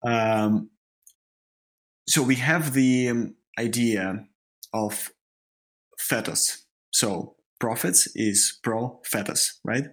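Russian-accented guy on a spiritual podcast, talks slow, keeps stumbling and repeating words. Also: mid-range voice. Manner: halting, repeats words while searching for phrasing. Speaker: podcast co-host.

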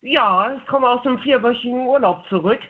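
A man's voice: extremely high pitch, brisk. A man in his twenties calling in to a radio show, talking casually over a narrow phone line. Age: 20s.

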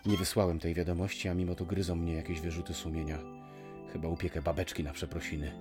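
A man's voice: low voice, flat delivery, fast talker, close to an everyday voice. A man playing a lonely, irritable husband who grumbles sardonically to himself, in a radio play. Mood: rueful. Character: lonely, irritable husband missing his absent wife. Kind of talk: Polish radio play acting, dialogue and monologue. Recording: music under the voice.

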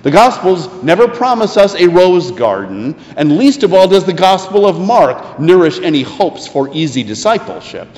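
American preacher in an echoey church. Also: male voice, high voice, sing-song, a little mellow. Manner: deliberate, emphatic phrasing with rhetorical questions and dramatic pauses. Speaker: preacher, pastor. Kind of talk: sermon, expository storytelling from scripture. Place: church sanctuary. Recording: echoey, reverberant hall.

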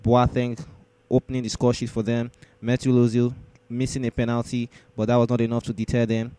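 A man's voice: medium pitch, monotone, fairly quick, warm tone, smooth, no echo. A man hosting a sports show, running through results and predictions in a roundup style.

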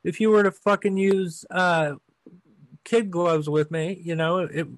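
A man's voice: high-pitched, fairly even intonation, a little slow, dry recording, low-quality recording. Stuttering man hosting a podcast. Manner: stutters, profane and agreeable. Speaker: podcast host. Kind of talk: casual chat over a video call. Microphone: video call.

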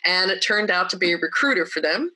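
A woman with a somewhat deep voice tells her story as a podcast guest. Her tone is bright and forceful. She is lively and anecdotal, and she mimics the people she quotes. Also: quick pace, low-quality recording. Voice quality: deeper voice.

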